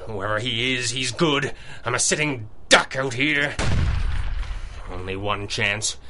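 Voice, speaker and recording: A man's voice: mid-range voice, slow pace, noisy background, lightly acted. An actor playing a determined masked vigilante hero in a 1930s-style radio drama, narrating his own actions with melodramatic urgency. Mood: alarmed, determined.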